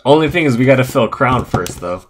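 A man's voice: mid-range voice, expressive, a little hiss or hum. A man hosting a live card break, casually reading out card names one after another at a table indoors. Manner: unhurried, reads off card names in a list.

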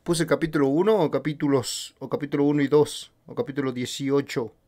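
A man's voice: fairly high pitch, steady tone, fairly quick. A young man with an Argentine accent, chatting casually and joking while reacting live to a series on stream. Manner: joking, loud outbursts, sings along.

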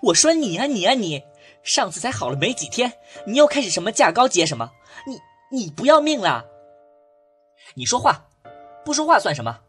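A woman's voice: mid-range voice, sing-song, moderate pace, faint music behind, lightly acted. A woman voicing a streetwise, protective, hot-tempered young man in an audio drama, blunt and emotional. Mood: worried, scolding. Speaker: voice actor.

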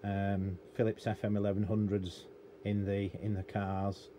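A man's voice: on the low side, completely flat delivery, unhurried, dark tone. A middle-aged British man giving an unscripted, rambling, matter-of-fact hands-on explanation at an indoor workbench. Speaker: radio technician and hobbyist presenter. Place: indoor cluttered electronics workbench. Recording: noticeable room noise behind voice.

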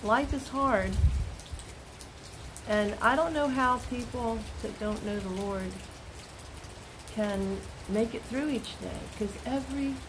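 An older woman giving a gentle spoken devotional, earnest and unhurried, recorded close on a phone indoors with rain falling outside. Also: fairly high pitch. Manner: slow, soft and earnest, with pauses. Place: indoors, small room by a door, rain outside. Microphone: phone camera at close range. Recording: steady rain noise behind.

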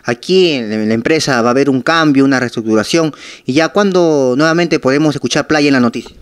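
A man's voice: mid-range voice, fairly quick, clean tone, no room sound. Peruvian male reporter, dry close sound. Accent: Peruvian Spanish. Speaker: TV news reporter, interviewer. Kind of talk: local news interview. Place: small office, indoors. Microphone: handheld microphone.

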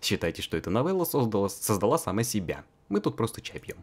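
A man's voice: lowish voice, very animated, fast. A man narrating a fan-voiced visual novel in a joking, mocking way, full of crude slang and frequent laughter.

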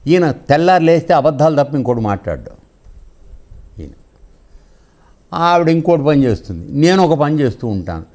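A man's voice: a little high, very animated, normal speed, pauses often, darkish tone. A man giving a spiritual discourse in a conversational, didactic way, often checking that listeners follow.

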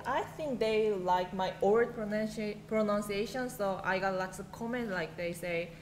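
A woman in her thirties with a Korean accent, a guest chatting casually in halting English and giggling, on a studio mic. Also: mid-range voice, echoey room, slight background noise, poor audio. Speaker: guest, YouTuber. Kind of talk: casual chat. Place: studio set with shelves. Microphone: studio mic on stand.

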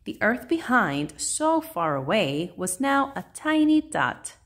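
A woman reading a rhyming children's picture book aloud in a measured, sing-song, expressive way. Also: medium pitch.

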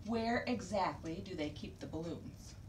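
A woman's voice: lowish voice, expressive, a little slow. A woman voicing a flustered, exasperated mother in a storybook reading. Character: flustered grown-up mom, exasperated. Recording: roomy distant recording with faint hiss.

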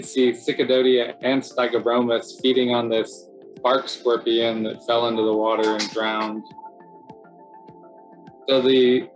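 A middle-aged man, presenting a slideshow in a relaxed, chatty way full of "so yeah" and "um", speaking over a video call from home. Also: medium pitch, rough recording. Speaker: city cave biologist presenting. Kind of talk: casual narrated slideshow talk.

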